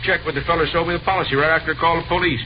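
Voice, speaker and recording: An actor playing a cranky, grumbling pet shop owner in 1950s American radio drama, with folksy, emphatic delivery. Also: male voice, fairly high pitch, steady tone, very fast, natural voice. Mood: indignant. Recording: noisy, muffled old radio recording.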